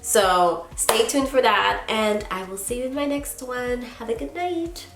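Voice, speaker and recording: A woman's voice: a little high, roomy sound, music in the background. A young woman from Haiti chatting casually to camera as a YouTuber, answering viewer questions with frequent laughs. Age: young adult. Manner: chatty, laughs often, drawn-out "girl" asides. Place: small indoor studio in front of a plain backdrop.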